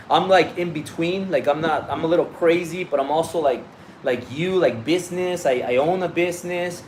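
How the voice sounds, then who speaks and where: Man, Mexican Spanish accent, blunt casual business talk, swears, a bit high-pitched, faint background noise. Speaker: business coach on a livestream. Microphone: phone on a live stream.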